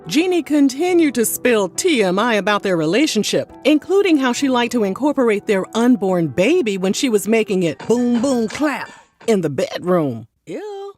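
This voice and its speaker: A woman's voice: fairly high pitch, very animated, moderate pace, a little mellow, faint music behind. A woman narrating a celebrity gossip video from a script and breaking in with sassy, snarky ad-libbed asides.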